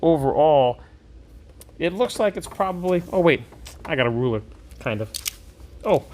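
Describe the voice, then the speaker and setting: A man's voice: fairly high pitch, lively intonation, moderate pace, darkish tone. A man hosting a casual hands-on tabletop gaming product review, talking conversationally and thinking aloud as he goes.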